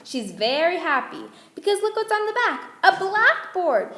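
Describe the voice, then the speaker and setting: An extremely high, animated voice bounces around an echoey room. It is a woman acting a perky old-time radio pitchwoman, coaxing children with singsong questions. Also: moderate pace, full character voice. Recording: echoey room, faint noise.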